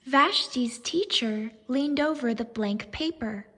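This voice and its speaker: A woman reading a storybook aloud to young children as a teacher, expressive and deliberate, pausing to ask questions. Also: sits high, husky, big-room sound.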